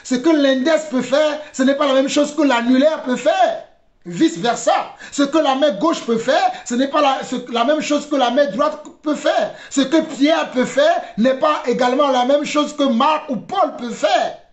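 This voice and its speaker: A man giving religious commentary in a deliberate, halting way, repeating phrases for emphasis. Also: extremely high pitch. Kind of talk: spoken commentary with preaching. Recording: dull, roomy, echoing recording.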